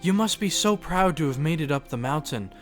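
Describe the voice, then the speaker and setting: A man voicing a serene, welcoming young woman with a smooth, formal manner, in a fan audio drama. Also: a little high, animated, a little fast, music in the background, voice pushed up, lightly acted.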